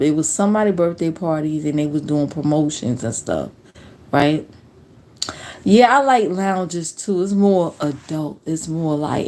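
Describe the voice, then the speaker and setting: Late-forties female streamer, low-set voice, loose slangy chat, light background hiss. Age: 40s. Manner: relaxed storytelling with slang and laughs. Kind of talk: casual live-stream chat.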